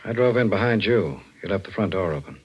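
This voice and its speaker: Deep, curt radio homicide detective. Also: male voice, lively intonation, quick pace, slightly rough. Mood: brisk, probing. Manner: curt, commanding, hard-boiled. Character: tough, blunt homicide detective sergeant. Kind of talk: 1940s radio mystery acting. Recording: muffled old-time radio recording.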